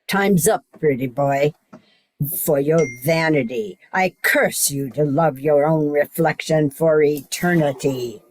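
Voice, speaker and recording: A cool noir femme fatale and avenging goddess, acted by a woman. She speaks slowly and rather low, with menace, over faint noise in a radio drama. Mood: cool, menacing.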